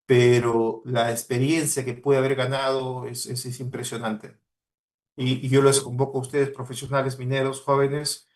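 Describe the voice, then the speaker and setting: A man with a Peruvian Spanish accent giving a motivational talk to students, earnest and exhorting, repeating key words for emphasis. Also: medium pitch. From Peru. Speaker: guest speaker, mining professional.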